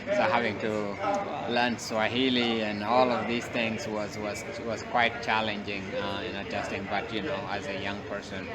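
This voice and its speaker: A man in his forties from South Sudan, a researcher giving measured interview answers with many restarts. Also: lowish voice, little pitch movement. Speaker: guest researcher. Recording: loud crowd noise behind the voice.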